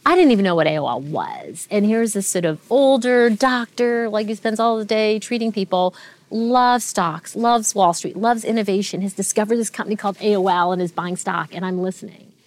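A woman in her forties, a tech executive being interviewed, telling stories fluently and with lively restarts. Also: mid-range voice, a little fast. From Canada. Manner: fluent, animated storytelling with frequent restarts. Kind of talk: interview conversation.

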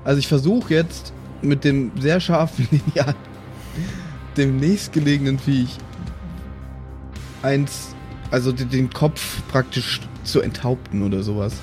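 A man's voice: mid-range voice, measured pace, long pauses, music in the background. A man speaking casually and hesitantly as a player in a tabletop role-playing game, with a self-mocking manner. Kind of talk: casual improvised game chat.

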